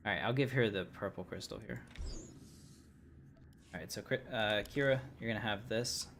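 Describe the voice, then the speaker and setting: A man streaming himself playing a game, talking in a loose, rambling way into a desk mic. His voice sits a little low. A faint hiss runs under it. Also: normal speed, vocal fry, a bit bright. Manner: rambling, easygoing, many fillers. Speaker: livestream gamer. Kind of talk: casual gameplay commentary.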